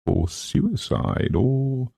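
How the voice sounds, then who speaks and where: Man as a droll, flippant young woman, lifted pitch. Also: big pitch swings, drawn-out words, creaky, warm tone, husky, dry recording, clean studio sound, exaggerated voice. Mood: wry delight. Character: flippant, sophisticated young woman, playfully ironic.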